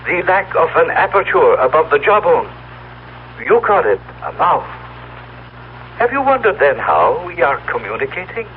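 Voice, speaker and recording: An actor playing a solemn, proud telepathic being from the future, speaking in formal, precise, stilted phrases as in old radio drama. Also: male voice, fairly high pitch, very animated, normal speed. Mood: solemn, explanatory. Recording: tinny, noisy old radio broadcast recording.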